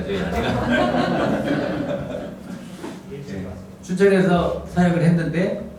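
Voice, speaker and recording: A middle-aged man from the Gyeongsang region who speaks with a standard Seoul-area accent, preaching in a soft, humorous storytelling manner. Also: mid-range voice, recorded from a distance. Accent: standard Seoul-area Korean. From Gyeongsang region, South Korea. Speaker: pastor. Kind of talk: lecture-style sermon. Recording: echoey hall miked from afar, background noise.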